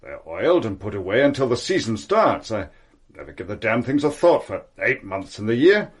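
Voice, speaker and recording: An actor playing a pompous, defensive titled country gentleman who blusters haughtily in a radio drama. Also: lowish voice, very animated, normal speed, slightly rough. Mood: indignant.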